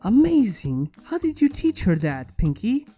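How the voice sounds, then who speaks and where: A woman's voice: medium pitch, very animated, a little slow, mellow. A woman voicing a studious, earnest pony princess with a precise, formal, inquisitive manner in a fan fiction story reading. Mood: intrigued. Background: background music.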